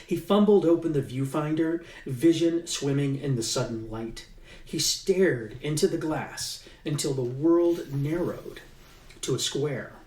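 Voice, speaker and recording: A man, an author, reading his own novel aloud in an unhurried way, pausing with fillers as he chats and leaning into the tense passages. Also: on the high side, a little mellow. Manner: unhurried, with halting fillers in the chat and livelier emphasis in the reading. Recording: echoey room, mic at a distance.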